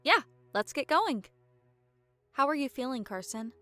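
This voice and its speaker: Woman playing a bright, no-nonsense adventurer. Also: high-pitched, big pitch swings, dry recording, soft background music, exaggerated voice. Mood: practical. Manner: brisk, skeptical. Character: no-nonsense female adventurer with werewolf powers. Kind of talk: audio drama acting.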